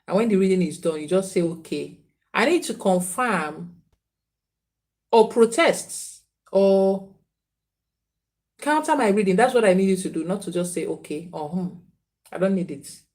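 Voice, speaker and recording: A woman's voice: mid-range voice, normal speed, long pauses, a little mellow. A woman giving spiritual readings on a live stream, repeating names and phrases and earnestly scolding viewers. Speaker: spiritual reader.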